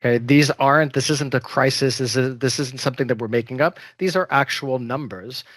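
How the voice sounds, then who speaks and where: A man opens a panel discussion as its moderator. He speaks in a measured way with frequent hesitations. His voice sits at a middle pitch and the sound is dry and close. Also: a bit bright, dry recording. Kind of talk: opening remarks on a panel.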